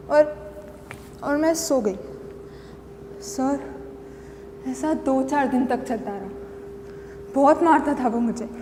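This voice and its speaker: Casting: an unhinged battered wife confessing in a dramatic monologue; very high voice, slow halting delivery, repetitive and pleading. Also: female voice, a little mellow. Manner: halting, repeats phrases, pleading. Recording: long echoing hall reverb, faint noise.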